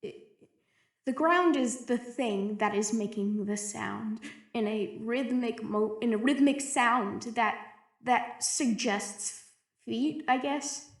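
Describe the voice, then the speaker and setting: A woman's voice: on the high side, takes it slowly. A woman playing a frightened, isolated researcher in an audio drama, stammering and hesitating anxiously as she records a log. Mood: hushed dread. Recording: echoey roomy recording.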